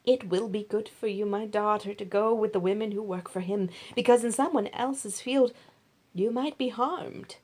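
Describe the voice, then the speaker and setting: A woman's voice: medium pitch, moderate pace, darkish tone, close to an everyday voice. A woman voicing a kindly older mother-in-law in a Bible story, giving motherly instruction with emphasis. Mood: motherly, advising.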